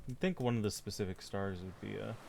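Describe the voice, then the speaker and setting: A man's voice: on the low side, brisk, creaky, noisy background. A man streaming a game, commenting and reading text aloud. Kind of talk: let's play commentary, reading on-screen notes aloud.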